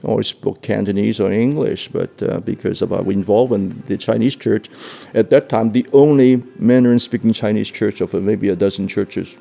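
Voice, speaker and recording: An older man from Hong Kong tells his story in an oral history interview. His low voice is warm and gravelly. He stops and repeats words often, and he laughs easily between phrases. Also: moderate pace, few pauses, soft background music.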